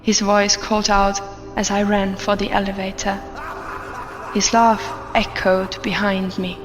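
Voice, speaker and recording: A woman playing a haunted, fearful office worker, narrating an audio horror drama in a grave, deliberate way. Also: mid-range voice, flat delivery, lightly acted. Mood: haunted dread. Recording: long reverb, dull sound, music behind.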